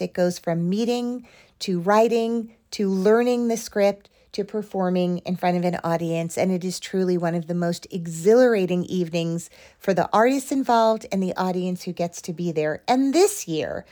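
A woman's voice: on the low side, warm tone. A woman hosting an interview podcast, introducing things in an enthusiastic, effusive manner. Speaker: podcast host.

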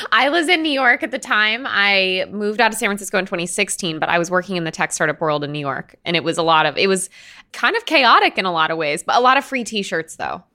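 A woman with a bright, mid-pitched voice hosts an interview. She talks in a casual, conversational way as she puts questions to her guest. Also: animated, brisk. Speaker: interview host.

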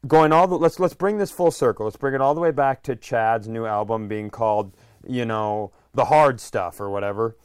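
Smooth, low-voiced man chatting casually. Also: normal speed, few pauses, no room sound, studio quality. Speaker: podcast host. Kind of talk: casual comedic conversation.

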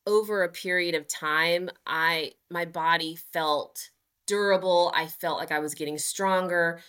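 Bright-voiced earnest woman runner. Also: on the low side, fairly even intonation, unhurried, clear, resonant tone. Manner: earnest and upbeat, with frequent fillers. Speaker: guest, amateur marathon runner. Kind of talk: casual podcast interview.

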